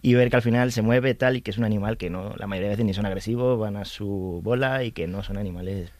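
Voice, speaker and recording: Fast-talking man explaining. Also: fairly low pitch, no echo. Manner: explains at length with many fillers. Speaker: spider expert guest. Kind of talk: casual podcast chat.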